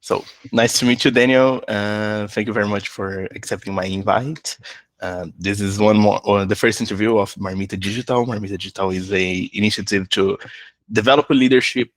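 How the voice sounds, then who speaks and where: A man's voice: fairly low pitch, dry recording. A man from Brazil with a Brazilian Portuguese accent, hosting a casual interview, friendly and hesitant with many fillers. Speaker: interviewer host.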